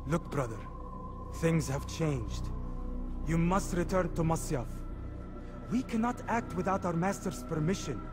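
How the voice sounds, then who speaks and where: An actor playing a cautious, dutiful assassin in a video game cutscene, measured and earnest, with a doubtful edge. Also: male voice, a little high, animated, over music. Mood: doubtful, reproachful.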